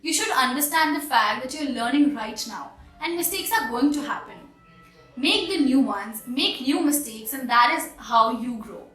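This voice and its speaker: High bright Indian-accented woman teaching. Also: animated, normal speed, roomy sound, far from the mic, soft background music. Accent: Indian English. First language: Hindi. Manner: earnest, encouraging, direct advice to viewers. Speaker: English teacher. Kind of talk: online English lesson.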